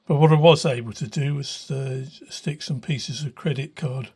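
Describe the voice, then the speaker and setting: An older man with a husky voice talks through a hobby demonstration. His delivery is unscripted and thoughtful, with hesitations as he works out what he wants to say. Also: medium pitch, steady tone, normal speed, a little mellow. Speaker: hobbyist radio restorer. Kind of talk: informal spoken demonstration, unscripted. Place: small cluttered room indoors. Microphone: handheld phone behind the camera.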